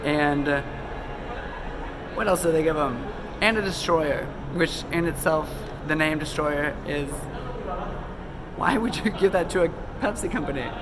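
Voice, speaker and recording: A man's voice: fairly high pitch, a little slow, long pauses, noisy background. Sick-sounding man rambling casually. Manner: loose, chatty, many ums and self-corrections. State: a little sick, losing his voice. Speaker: conspiracy video host. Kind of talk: casual rambling talk to camera. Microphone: phone camera.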